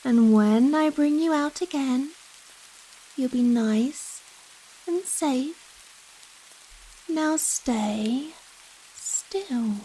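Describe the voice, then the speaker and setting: A woman playing a high-voiced, slow, coaxing vampire giantess. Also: slight background noise, rough recording. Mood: calm, commanding. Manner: slow, coaxing, softly commanding, breathy sighs and chuckles. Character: giant vampire woman, gentle, doting, teasingly possessive. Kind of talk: ASMR roleplay, addressing the listener intimately.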